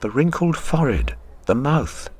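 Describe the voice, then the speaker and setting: A man's voice: medium pitch, sing-song, moderate pace, darkish tone, close to an everyday voice. A man acting as the grave narrator of a literary radio drama. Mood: brooding, measured. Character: grave literary narrator telling a troubled man's story.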